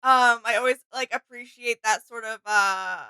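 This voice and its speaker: A woman's voice: fairly high pitch, normal speed, gravelly, pushed and bright, clear, resonant tone. A woman chatting casually and enthusiastically as co-host of a reaction video. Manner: enthusiastic, appreciative. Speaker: co-host of a reaction channel, artist.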